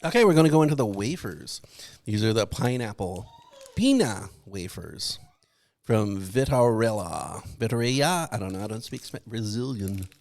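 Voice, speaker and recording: A man's voice: on the low side, big pitch swings, normal speed, a little mellow. A man co-hosting a podcast, chatting casually and jokily in a rambling way while he tastes snacks. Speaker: podcast co-host.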